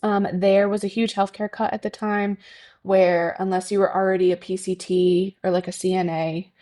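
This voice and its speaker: A woman's voice: mid-range voice, flat delivery, normal speed, warm tone. A woman from Connecticut who works as a nurse, talking as a podcast guest in a chatty way with many ums and likes. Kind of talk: conversational interview.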